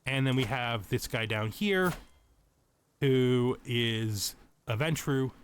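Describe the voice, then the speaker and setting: A man's voice: medium pitch, takes it slowly, a little hiss or hum. Man explaining a strategy game. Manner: explanatory, thinking aloud. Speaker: let's play host. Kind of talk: tutorial-style game commentary.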